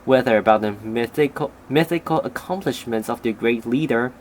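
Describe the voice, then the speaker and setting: A young man recites a prepared speech at a steady, measured pace, with the careful delivery of a student reading aloud. His voice sits in an ordinary middle pitch. A faint hiss of noise runs underneath.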